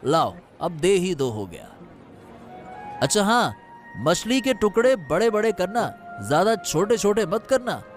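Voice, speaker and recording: A man plays a well-off village customer in a cartoon tale. He is high-voiced with big swings in pitch, bargaining insistently but familiarly. Faint music plays behind him. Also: big pitch swings, a little slow. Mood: giving in. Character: haggling, well-off village male fish customer. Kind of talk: Hindi cartoon moral story dialogue.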